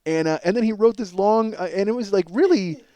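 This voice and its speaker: A man hosting a comedy podcast in a casual, rambling, profane and excitable ranting monologue. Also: high-pitched, a little fast. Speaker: podcast host.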